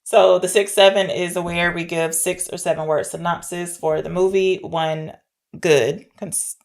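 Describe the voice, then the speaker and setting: A woman with a distinctly low voice and a lot of vocal fry hosts a casual film discussion. She comes across as playful and bantering, like a podcast co-host talking with a friend. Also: fairly even intonation, measured pace.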